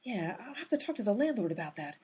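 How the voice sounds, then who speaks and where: A woman's voice: mid-range voice, very animated, fast talker, a little mellow, slightly rough. A woman playing an exasperated, determined but inept detective in a radio comedy, with an emphatic, easily sidetracked manner. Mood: muttering, puzzled.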